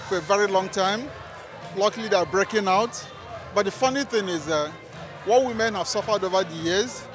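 Middle-aged guy talking to reporter, highish voice, busy crowd and music. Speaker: interviewed guest. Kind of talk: on-camera vox-pop interview. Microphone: handheld reporter microphone. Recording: loud music and crowd behind.

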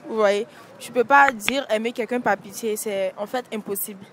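Vox pop, young woman, fairly high voice, casual and repetitive, handheld mic, light street noise. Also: normal speed. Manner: casual, repeats points with "en fait". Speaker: street interviewee. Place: city street at night beside traffic. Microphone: handheld mic held by interviewer.

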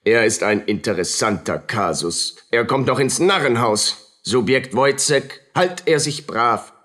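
A self-important doctor in a radio drama, obsessed with his experiments. His voice is husky and mid-pitched, and he declaims slowly, scolding in short barked phrases. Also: animated, a bit bright. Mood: pleased, commanding.

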